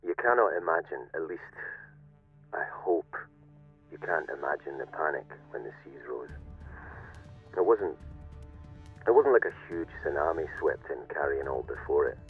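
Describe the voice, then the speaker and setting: A man playing a weary, cynical security corporal in a video game, recording a halting, rueful audio diary as a survivor of a flooded world. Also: rumbling low, animated, breathy edge, phone-quality sound, soft background music, exaggerated voice. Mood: somber, reflective. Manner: halting, rueful, confiding, with pauses and self-corrections. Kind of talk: in-character audio diary monologue, dramatic game acting.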